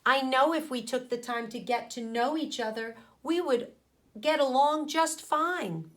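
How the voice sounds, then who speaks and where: A woman teacher reading a picture book aloud to children, speaking deliberately with pauses between phrases and putting questions to her pupils. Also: high-pitched. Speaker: primary school teacher.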